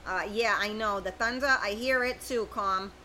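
A woman's voice: mid-range voice, normal speed, bright, forceful tone, a little hiss or hum. A middle-aged woman, hosting a casual livestream, reading viewer comments and chatting with them by name. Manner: chatty, informal, talks straight to viewers by name. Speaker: livestream host.

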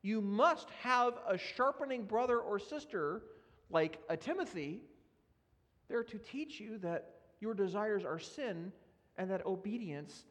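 A man's voice: high-pitched, expressive, a little slow, fairly bright tone. A man preaching a sermon, earnest and emphatic as he works through scripture readings. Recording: long reverb of a large hall.

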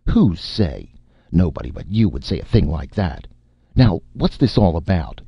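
A man's voice: low voice, big pitch swings, a little slow, vocal fry, dark tone, husky, no echo, exaggerated voice. A man voicing a cowardly male writer in a story, nervous and defensive, blustering with feeble bravado. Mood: shaky bravado.

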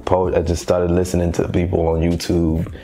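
Male interview guest from Haiti, native Creole speaker. Low, creaky voice, relaxed and slangy, repeats himself for emphasis. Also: moderate pace, faint music behind. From Haiti, later Boston. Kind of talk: casual interview chat.

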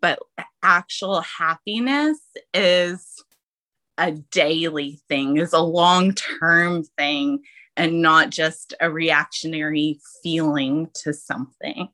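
A young woman with a light Texan accent speaks slowly and thoughtfully as a podcast guest. Her voice is bright and forward, and her talk is earnest and reflective. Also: sits low. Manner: slow, earnest, reflective, with laughs. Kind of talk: casual interview chat.

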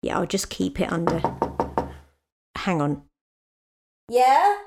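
A woman's voice: lowish voice, wildly swooping pitch, slow pace, heavy background noise, exaggerated voice. A woman playing a busy mother of four in an audio drama, wry, patient and dry in her delivery. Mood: chatty, confiding. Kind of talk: naturalistic audio drama dialogue.

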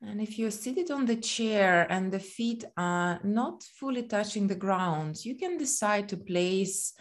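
Slow, low-pitched woman guiding meditation. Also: vocal fry. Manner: soothing, gentle, unhurried with long pauses. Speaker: meditation guide. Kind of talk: guided body scan meditation.

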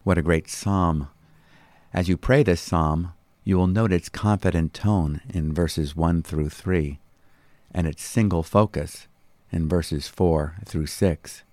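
A man reading a scripted devotional Bible commentary as a pastor, with a measured, teaching delivery. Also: low-pitched, fairly even intonation, a little mellow, no room sound.

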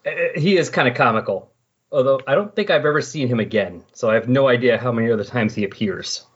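Man, podcast host, husky voice, conversational, explanatory, slightly muffled lo-fi recording. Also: medium pitch, lively intonation, fast talker. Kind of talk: casual comic-book recap discussion. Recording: dull, lo-fi voice recording.

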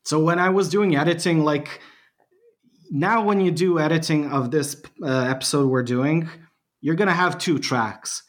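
A man's voice: fairly high pitch, moderate pace. A man from Bosnia and Herzegovina, a podcast guest chatting animatedly and mocking himself with frequent laughs. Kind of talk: casual interview chat.

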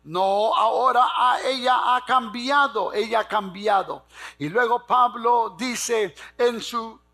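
A man preaching a fervent sermon in an emphatic, repetitive, exhorting way, calling on the congregation to respond, with a gravelly, husky voice. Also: very high voice, slow pace, bright, forceful tone, faint music behind.

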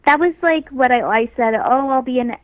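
A young woman with a high, light voice chats easily about her work, upbeat and given to laughing. The sound is dry and close, with no room around her. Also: moderate pace, no echo, dull audio. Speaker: guest actress. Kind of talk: casual interview chat.

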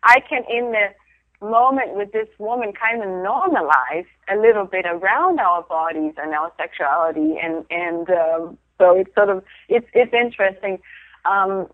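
A woman's voice: mid-range voice, moderate pace, dry recording. Laughing Danish-born woman on a phone line. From Denmark. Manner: reflective, laughs often, many restarts. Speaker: interview guest, photographer of women. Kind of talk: casual conversational interview.

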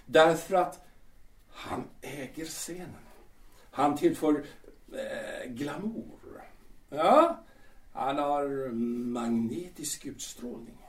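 A man's voice: a little high, animated, long pauses, distant mic, natural voice. An actor playing a vain, boastful male stage star who rambles with many hesitations, in an audio drama. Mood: lecturing. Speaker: star singer-actor Peter. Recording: echoey room, voice often off-mic.

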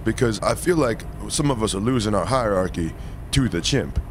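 A man's voice: fairly low pitch, lively intonation, moderate pace, a little hiss or hum, close to an everyday voice. A man playing a bodyguard in an improvised comedy scene, speaking politely and hesitantly in a formal way. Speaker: bodyguard in the star's entourage.

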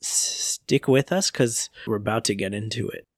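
A man's voice: lowish voice, moderate pace, breathy edge, no room sound, clean studio sound. A man hosting a casual podcast in a conversational way. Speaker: podcast host.

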